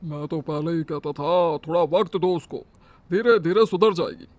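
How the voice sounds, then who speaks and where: A man voicing a patient older father-in-law, soothing and gentle. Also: on the high side, moderate pace, close to an everyday voice. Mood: calm.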